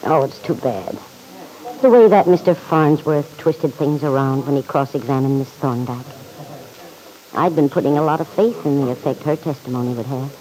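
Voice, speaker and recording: Actor as kindly, mellow, measured confidant. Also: male voice, mid-range voice, lightly acted, rough recording. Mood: concerned, kindly. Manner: gentle, measured, sympathetic. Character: kindly, earnest, reasonable confidant in a courtroom. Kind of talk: old-time radio drama acting.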